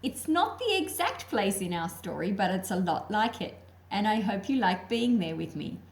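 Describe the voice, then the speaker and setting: A woman hosting a children's read-aloud, speaking in simple, deliberate phrases as if straight to young listeners. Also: mid-range voice, big pitch swings. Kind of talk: slow picture-book read-aloud with friendly asides to young viewers.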